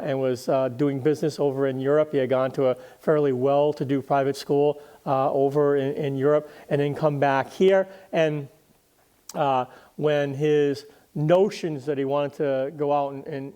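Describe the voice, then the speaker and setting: Older man, slightly raised pitch, interview guest, historian, conversational, halting and reflective. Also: a little mellow.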